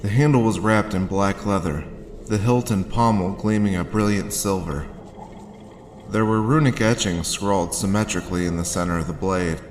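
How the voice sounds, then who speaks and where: A man's voice: fairly low pitch, reverberant, slight background noise, lightly acted. An actor playing a sardonic, world-weary man, wry and sarcastic, narrating a noir audio drama in the first person.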